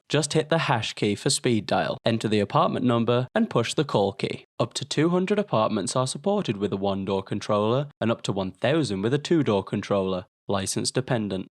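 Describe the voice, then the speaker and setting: A man reading a scripted promotional product voice-over in a polished, measured, presentational way. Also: mid-range voice, no echo, studio quality. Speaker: product video narrator.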